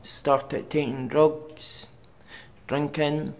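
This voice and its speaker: A young man with a Glaswegian Scottish accent, giving personal testimony for a documentary in a halting, plain way with pauses and fillers. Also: on the high side, level tone. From Scotland. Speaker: documentary interviewee. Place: outdoors on a city street at night.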